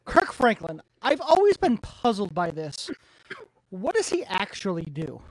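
A woman's voice: medium pitch, big pitch swings, a little slow, no room sound, rough recording. A woman co-hosting a casual interview, playful and acting out quotes. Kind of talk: casual chat.

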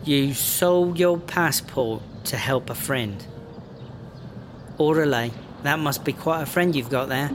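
A man's voice: mid-range voice, takes it slowly, noisy background, lightly acted. A man playing a wary, sly British rapper who smuggles on the side, in a comedic audio drama, with a British accent. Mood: amused, suspicious.

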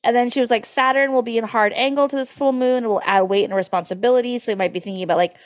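Female podcast host, higher-pitched voice, casual and playful, riffs conversationally. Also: fairly even intonation, very fast. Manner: chatty, riffing, playful. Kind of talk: casual comedic chat about horoscopes.

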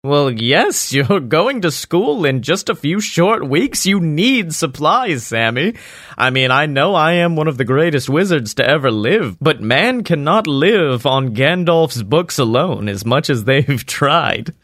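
Guy putting on a smug bragging wizard dad, voice pushed up a bit higher, dry close sound. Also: big pitch swings, measured pace, fairly bright tone, no room sound, exaggerated voice. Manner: grandiose, laughing, dismissive. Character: pompous boastful male wizard, self-important father.

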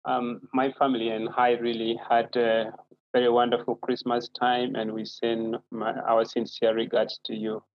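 A man's voice: medium pitch, monotone, moderate pace, no echo, highs cut off. A man in his thirties with a Ugandan accent, a native Acholi speaker, answering as an interview guest in a polite, thoughtful way. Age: 30s. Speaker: guest author.

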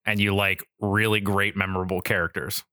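Bright, low-voiced man chatting on a podcast. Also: level tone, brisk, no room sound, clean studio sound. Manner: informal, frequently swearing. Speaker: podcast co-host. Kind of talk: casual podcast chat.

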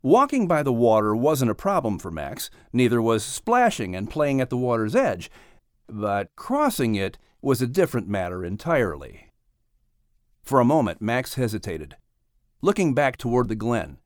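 A man reading a children's story as an audiobook narrator, with expressive, dramatic delivery. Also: medium pitch, moderate pace, dry recording, clean studio sound.

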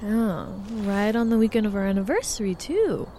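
A woman's voice: mid-range voice, expressive, moderate pace, warm tone, a little hiss or hum, close to an everyday voice. An actress playing a playful, reluctant city woman in an audio drama, speaking casually with affectionate complaint. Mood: curious, suspicious. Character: Temi, reluctant, teasing city woman on a hike. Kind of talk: naturalistic audio drama dialogue.

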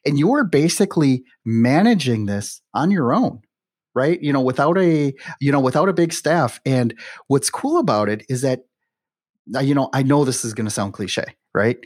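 A man's voice: medium pitch, lively intonation, moderate pace, a little mellow, dry recording. Chatty man hosting, dry close sound. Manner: chatty, peppers speech with "you know" and "right". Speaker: podcast host. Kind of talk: conversational interview talk.

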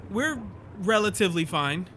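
A man's voice: high voice, sing-song, moderate pace, sharp tone, a little hiss or hum, heavily acted. A man playing a chatty, friendly scientist in an audio drama, with a casual, joking delivery. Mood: upbeat, teasing.

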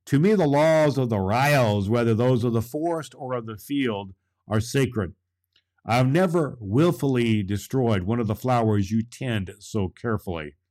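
A man voicing an earnest, gentle young man in a storybook, speaking formally and with some pleading. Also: medium pitch, moderate pace, close to an everyday voice. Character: young Claus, earnest gentle male settler.

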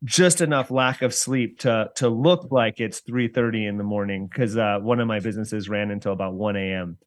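A man from the San Francisco Bay Area talking casually as a podcast guest, in halting, rambling explanations. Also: lowish voice, no echo. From San Francisco Bay Area, United States. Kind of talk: casual interview talk.

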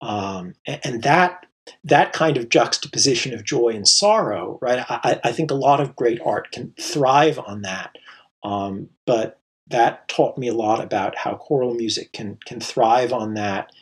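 A man being interviewed as a composer, answering thoughtfully with many pauses, fillers and restarts. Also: mid-range voice, breathy edge. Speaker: composer guest.

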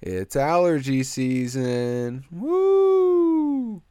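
A man's voice: fairly high pitch, very animated, drawn-out words, mellow, clean tone, dry recording. A young man hosting a solo podcast, congested and stuffy-nosed, joking crudely and drifting off on tangents. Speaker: podcast host. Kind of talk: rambling solo podcast chat.

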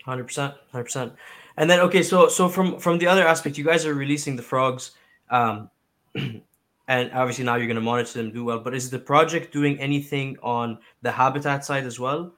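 A man's voice: medium pitch, steady tone, fairly quick, fairly bright tone. A man hosting a podcast, asking his guests questions in an informal, friendly way. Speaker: podcast host. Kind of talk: casual interview questions.

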